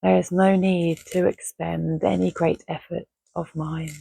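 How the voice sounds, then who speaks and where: A woman guiding a relaxation meditation in a gentle, measured, soothing way, leaving long pauses between phrases. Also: fairly low pitch, little pitch movement, vocal fry, slightly rough. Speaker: meditation guide. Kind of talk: guided Yoga Nidra relaxation, soothing and measured.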